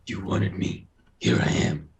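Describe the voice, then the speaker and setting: A man playing a grim masked vigilante in a script read, with a gravelly growl. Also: deep voice, expressive, a little slow, heavily acted. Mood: grim, menacing. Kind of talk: table read of a film script.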